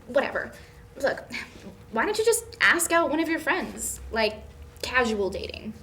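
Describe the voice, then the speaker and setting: A young woman acting as a self-assured college student who meddles in friends' lives. Her voice is high and very animated, with brisk teasing delivery. Table read, with faint noise behind. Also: full character voice. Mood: pushy, teasing. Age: young adult. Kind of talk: table read of a pilot script.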